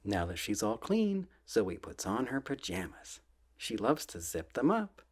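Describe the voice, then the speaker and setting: A soft-spoken man reading children's books aloud in a gentle, playful ASMR style, often dropping close to a whisper. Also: fairly low pitch, huge pitch swings, breathy edge. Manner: gentle, drawn-out, playful storybook delivery.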